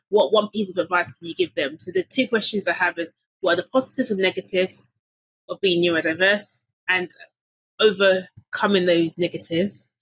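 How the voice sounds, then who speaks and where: A woman's voice: mid-range voice, a bit bright, husky, dry recording, low-quality recording. A woman from London hosting a podcast, chatting casually and laughing often between her sentences. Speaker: podcast host. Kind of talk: casual conversation.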